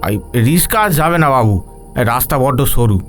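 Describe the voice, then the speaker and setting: A man's voice: medium pitch, animated, normal speed, faint music behind, close to an everyday voice. A man playing an uneasy, deferential village rickshaw puller with rustic, colloquial speech in an audio horror story. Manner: colloquial rustic speech, addresses listener respectfully.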